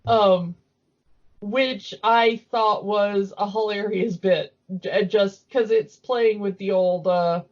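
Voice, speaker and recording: A woman's voice: medium pitch, fairly even intonation, slow. A woman hosting a podcast, chatting casually and enthusiastically about cartoons. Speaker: podcast host. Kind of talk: casual chat, cartoon commentary. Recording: dull lo-fi audio.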